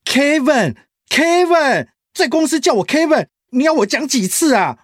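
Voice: high voice, very animated, unhurried, no room sound, studio quality. A performer playing a flamboyant, fussy but loyal big-brother office colleague in a Mandarin radio drama, theatrical and teasing, with a Taiwanese accent. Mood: indignant.

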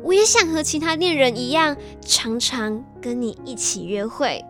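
A woman's voice: sits high, expressive, moderate pace, fairly bright tone. A woman playing a devoted, heartbroken young woman in a radio drama, speaking tenderly and sorrowfully. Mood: longing, grieving. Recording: music behind the voice.

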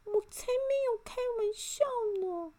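A woman's voice: very high voice, measured pace, dark tone, voice pushed up. A woman voicing a tiny, playful, unflappable elf in a children's story, teasing and carefree. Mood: cheeky, playful.

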